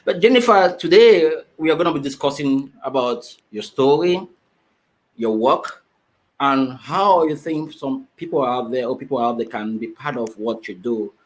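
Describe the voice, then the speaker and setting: A man's voice: mid-range voice, normal speed, poor audio. Chatty male show host. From Finland. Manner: friendly, repeats words, restarts often. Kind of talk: casual online interview.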